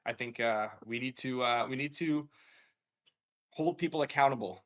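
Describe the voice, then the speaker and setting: A man's voice: mid-range voice, moderate pace. A man hosting a casual sports betting show, upbeat and laughing, with many fillers. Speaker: show host. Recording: dull lo-fi sound.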